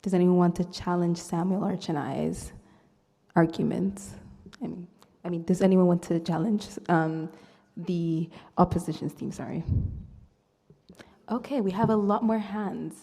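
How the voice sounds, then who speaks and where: A woman's voice: on the low side, fairly even intonation, unhurried, vocal fry, mellow. A woman moderating a live debate, inviting questions and correcting herself as she goes. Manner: hesitant, self-correcting. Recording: reverberant hall.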